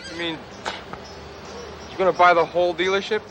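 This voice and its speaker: A man's voice: high-pitched, little pitch movement, a little fast, lightly acted. An actor playing an eager, folksy car salesman with chirpy sales patter, outdoors on a lot. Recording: outdoor street noise.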